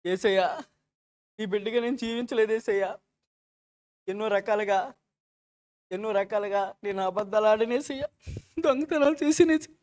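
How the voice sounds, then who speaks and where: A woman voicing an earnest, excitable young boy puppet, repentant and childlike, in a children's church puppet show. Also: medium pitch, moderate pace, long pauses, natural voice. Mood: remorseful praying. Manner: pleading, exclaiming, keeps addressing big sister.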